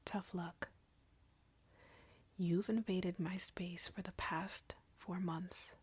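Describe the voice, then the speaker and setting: A very breathy, husky vampire woman, played by an actress in an intimate roleplay, drawing out her taunts slowly with a creaky edge. She is arrogant, cruel and flirty, condescending to her captive at close range. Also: mid-range voice, a little mellow, heavily acted. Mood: cold, teasing. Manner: slow, taunting, condescending, teasing. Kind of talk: scripted roleplay audio, intimate ASMR-like delivery. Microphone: close, dry microphone.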